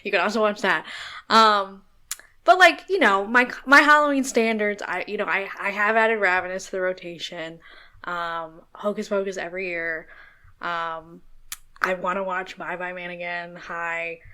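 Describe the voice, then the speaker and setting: A woman's voice: lowish voice, normal speed, bright, forceful tone, clear, resonant tone. A woman hosting a podcast in casual chat, talking in a hesitant, meandering way. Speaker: podcast host.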